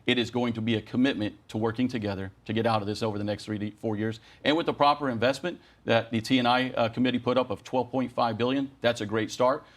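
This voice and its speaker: A man gives a news interview as a union spokesman. He sits in a middle pitch range and argues his case earnestly, with emphatic, rallying phrases strung into long sentences. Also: steady tone, fast talker, hardly any pauses. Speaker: air traffic controllers' union president.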